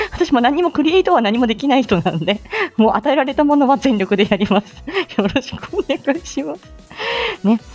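A woman's voice: medium pitch, darkish tone. A woman hosting a casual live chat with announcements, talking in a polite, flustered, giggly and self-deprecating way. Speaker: livestream host.

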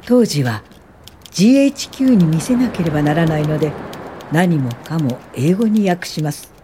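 A woman playing a composed, politely firm older welfare pioneer in a radio drama, with measured narration and dialogue. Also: sits low, expressive, warm tone, slight background noise. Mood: solemn, reminiscent.

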